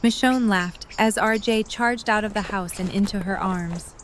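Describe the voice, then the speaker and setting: A woman reading scripted story narration in an audio drama, at a medium pitch. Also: normal speed, a little hiss or hum.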